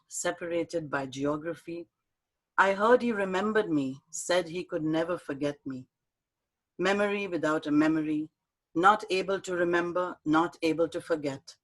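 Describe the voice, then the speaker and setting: A woman on a video call who reads her own poem and answers questions in a soft, halting, unhurried way. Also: low voice. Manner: soft, halting, warm storytelling with fillers. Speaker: speaker at an online talk.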